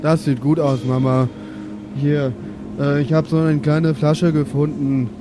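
A man's voice: on the high side, level tone, a little slow. A man improvising in character as a tabletop role-playing player, casual and colloquial, with music behind him.

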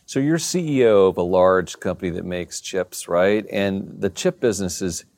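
Interviewer, man, low voice, dry close mic, conversational leading questions. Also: moderate pace, darkish tone, no room sound. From Maryland. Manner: leading questions, seeks agreement.

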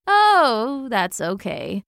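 A woman's voice: high-pitched, huge pitch swings, measured pace, sharp tone, dry recording, studio quality, pitched above the performer's own voice, full character voice. A woman voicing a patient old male hornet who keeps the peace with authority in a children's story. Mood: kindly.

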